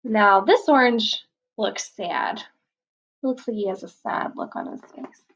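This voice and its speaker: A woman reading a picture book aloud to children, explaining the pages in a gentle, patient way. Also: a little high, takes it slowly, dull audio.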